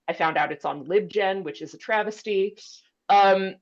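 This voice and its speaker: A woman's voice: mid-range voice, normal speed, highs cut off. A woman librarian speaking informally on an online panel, presenting in a chatty way with conversational asides. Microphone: video call.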